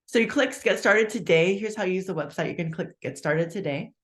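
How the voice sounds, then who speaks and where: A person in their fifties giving a casual, chatty live sales pitch for a meal plan on a webinar, walking through the prices step by step and checking in with "okay?", upbeat about their own results. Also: a little fast. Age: 50s.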